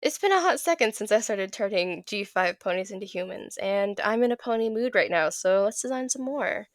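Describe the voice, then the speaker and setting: A woman's voice: mid-range voice, animated, brisk, no room sound, clean studio sound. A young woman narrating a casual art vlog, laughing often and tripping over her words while her voice is still off from being sick. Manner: giggly, stumbles and repeats words. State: recovering from illness, voice a bit off. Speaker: video creator.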